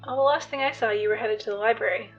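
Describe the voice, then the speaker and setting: A woman playing an uneasy high school girl in an audio drama, speaking hesitantly and conversationally. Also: quick pace, lo-fi sound, faint music behind. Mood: uneasy, puzzled. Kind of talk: scripted audio drama dialogue.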